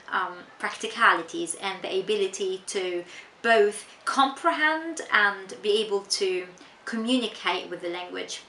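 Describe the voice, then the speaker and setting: Tunisian woman teacher, bright echoey voice. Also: mid-range voice. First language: not English. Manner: measured, explanatory, with frequent ums. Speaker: English teacher. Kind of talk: answering interview questions read aloud. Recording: echoey room with faint noise.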